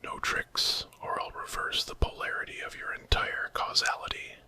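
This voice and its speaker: A man's voice: moderate pace, close to an everyday voice. A man voicing a frantic, commanding male time traveller in a whispered ASMR story, urgent and bossy. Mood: stern, bargaining.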